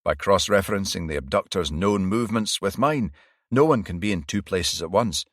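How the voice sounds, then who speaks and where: A man's voice: deep voice, expressive, moderate pace, a bit bright, dry recording, clean studio sound. A man voicing a feverish off-duty male detective who talks urgently and earnestly.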